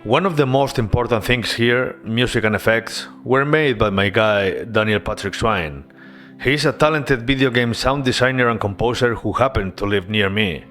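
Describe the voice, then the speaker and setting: A man narrating his game-development devlog as a voice-over, earnest and relaxed, with casual asides. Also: lowish voice.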